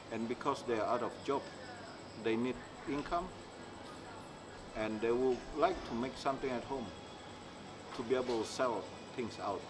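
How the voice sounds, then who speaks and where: A middle-aged man from Indonesia, speaking haltingly in an interview and often restarting as he searches for words. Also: medium pitch. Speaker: interviewee, maker. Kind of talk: casual interview talk. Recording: clear background noise, insects.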